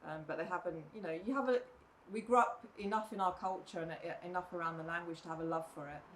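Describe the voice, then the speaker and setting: A middle-aged woman, a mother talking reflectively in a casual podcast chat, often pausing with "um" and "you know". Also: fairly low pitch, fairly bright tone, poor audio. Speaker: guest, mother of the other guest. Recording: faint background noise.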